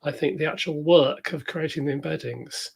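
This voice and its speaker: A woman's voice: sits low, normal speed, vocal fry, husky. A woman with an English accent chatting casually as co-host of a technical workshop, tentative and thoughtful, often rephrasing as she goes. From England. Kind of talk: casual chat during a live technical workshop.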